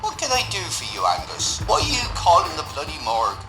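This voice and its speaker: A man's voice: on the high side, wildly swooping pitch, fairly quick, reverberant, loud music behind the voice, low-quality recording. A man playing a cheeky morgue clerk in an audio drama, full of teasing, matey banter. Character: cheeky male morgue clerk, an old friend.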